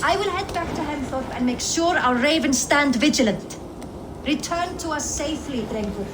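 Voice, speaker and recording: High-voiced, loyal Viking clanswoman. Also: lively intonation, moderate pace, background noise. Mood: watchful. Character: devoted Viking clanswoman, watchful, earnest and loyal. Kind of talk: video game cutscene acting.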